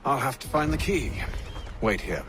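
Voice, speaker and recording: An actor playing a composed, authoritative gentleman mentor in a video game, formal and assured. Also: mid-range voice, very animated, normal speed, natural voice. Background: loud game music.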